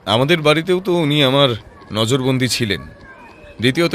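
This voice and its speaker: A man playing a composed, sharp-witted private detective in an audio drama, reasoning in a measured voice with rhetorical questions. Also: lowish voice, slight background noise, close to an everyday voice. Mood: firm, challenging. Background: background music.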